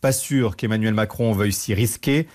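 A man giving a fluent, measured radio news report as a correspondent. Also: on the low side, a little mellow. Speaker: political correspondent.

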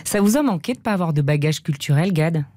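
An interviewer host who asks brisk, prompting questions in a broadcast interview. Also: mid-range voice, animated, dark tone.

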